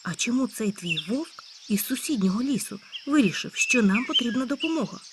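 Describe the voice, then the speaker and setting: A woman voicing a calm, hardworking male hedgehog in a storybook tale, speaking matter-of-factly. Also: on the high side, a little fast, heavy background noise, pitched above the performer's own voice, lightly acted. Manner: calm, matter-of-fact, scolding when cross. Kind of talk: storybook character voice.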